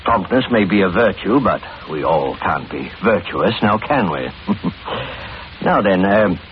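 A low, swooping voice on a muffled, noisy vintage radio recording. a fussy, oily gentleman who is all courtesy on the surface, hemming and repeating his polite phrases in old radio-drama style. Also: animated, unhurried. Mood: courteous, probing.

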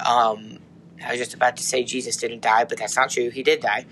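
A man co-hosting a casual faith podcast, slightly hoarse, rambling with many restarts and fillers. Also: medium pitch, little pitch movement, brisk, fairly bright tone, slight background noise. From United States. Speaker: podcast co-host.

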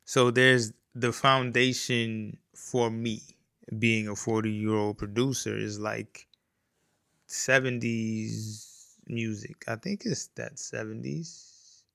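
A man in his forties rambles easygoingly through his own podcast, circling back on his words. His voice is gravelly yet smooth and even in pitch, with a slow, unhurried delivery. The sound is dry and close. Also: no echo. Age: 40s. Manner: rambling, easygoing, many fillers and restarts. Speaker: podcast host, music producer. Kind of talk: casual solo podcast talk.

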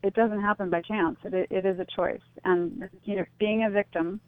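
A woman's voice: medium pitch, fairly even intonation, normal speed, no echo. A woman speaking as an interview guest over a phone line, conversational and reflective. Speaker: interview guest, healing advocate. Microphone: phone call. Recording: narrow, tinny phone line.

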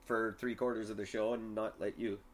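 A man's voice: lowish voice, level tone, brisk. A young man chatting casually on a podcast, brash and self-mocking, swearing freely. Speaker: podcast co-host.

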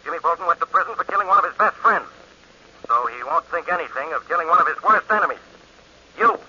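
An actor playing a gruff, no-nonsense police inspector in a 1940s radio drama, on a dull, hissy old broadcast. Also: male voice, on the high side, expressive, quick pace, sharp tone, heavily acted. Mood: urgent. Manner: gruff, urgent.

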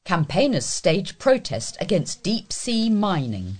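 Husky British woman reading local news. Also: on the low side, wildly swooping pitch, slow pace, a little mellow. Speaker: volunteer newsreader.

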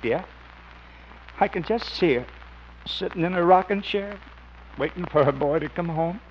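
An actor playing a wistful, wheedling small-time crook with a folksy, sly manner in a 1950s radio comedy. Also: male voice, a little high, moderate pace, natural voice. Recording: muffled, noisy vintage radio recording.

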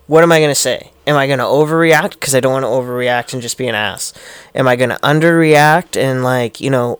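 Smooth-voiced reflective man. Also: mid-range voice, no echo. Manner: earnest, reflective, easy laugh. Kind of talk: casual chat.

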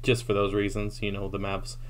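A man's voice: on the low side, completely flat delivery, a little fast, slight background noise. A man co-hosting a casual basketball podcast, steadily reeling off stats with frequent ums. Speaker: podcast co-host. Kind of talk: casual sports talk.

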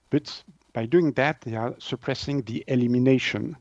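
Guy explaining stuff in an interview, searches for words, medium pitch, dry but kinda dull audio. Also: darkish tone, no room sound. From Switzerland. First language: French. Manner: halting, hesitant delivery with word searching. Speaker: naturopath, interview guest.

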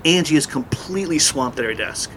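An actor playing a calm, matter-of-fact male office colleague in an audio drama. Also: on the high side, brisk, a little hiss or hum.

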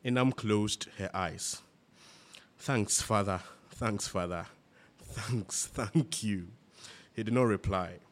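A man's voice: fairly low pitch, takes it slowly. A man reading literary fiction aloud, narration mixed with characters' dialogue. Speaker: reader.

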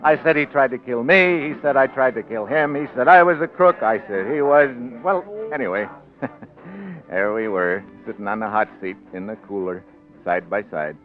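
An American actor playing a rough, slangy crook turned stubborn governor in a 1940s radio drama. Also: male voice, mid-range voice, normal speed, faint music behind, natural voice. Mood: wry, narrating. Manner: gruff, slangy, tough-guy delivery. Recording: muffled, faintly noisy 1940s radio recording.

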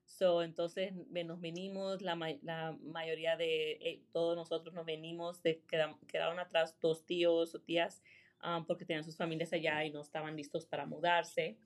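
A woman from Rhode Island, a real estate agent, chatting in a relaxed interview and dropping English fillers between her sentences. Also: low-pitched, moderate pace. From Rhode Island, USA. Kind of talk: casual interview.

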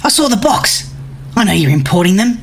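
A woman's voice: medium pitch, very animated, fairly quick, a little hiss or hum. A woman playing a menacing, foul-mouthed, violent bully in an audio comedy sketch, curt and threatening.

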